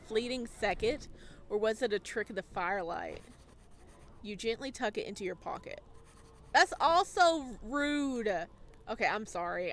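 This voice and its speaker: Slow-paced woman playing a visual novel. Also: a little high, fairly bright tone, slight background noise. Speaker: gaming video creator. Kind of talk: visual novel playthrough, narration and commentary.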